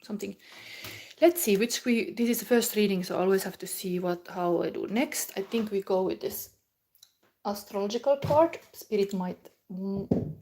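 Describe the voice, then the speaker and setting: A woman's voice: mid-range voice, darkish tone, a little hiss or hum. A woman from Finland with a Finnish accent, speaking non-native English in a rambling, word-searching way as she gives a casual psychic tarot reading to viewers.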